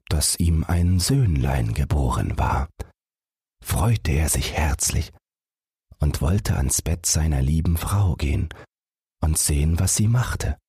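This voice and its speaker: A man's voice: very deep voice, mellow, breathy edge, no room sound, clean studio sound. A man reading scripted narration as the host of a bedtime audiobook podcast.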